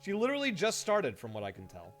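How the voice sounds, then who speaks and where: A man's voice: high voice, wildly swooping pitch, quick pace. A man doing casual live game-stream commentary, rambling playfully with dry asides. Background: music.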